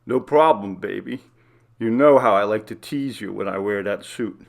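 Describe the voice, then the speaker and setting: A man's voice: on the low side, moderate pace, vocal fry. A man voicing an affectionate, easygoing husband and father in a storybook reading. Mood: teasing.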